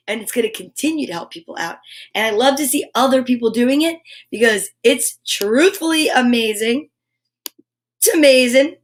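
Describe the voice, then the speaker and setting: Lady doing a live kids story show, highish voice, super encouraging n chatty, lots of playful asides. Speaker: host of a children's story time show. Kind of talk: live children's show chat and read-aloud.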